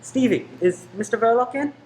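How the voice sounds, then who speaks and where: A man's voice: very high voice, darkish tone, a little hiss or hum, rough recording. Man playing a formal, courteous investigator. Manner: measured, courteous, official. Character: polite, formal, probing investigator. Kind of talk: radio play acting.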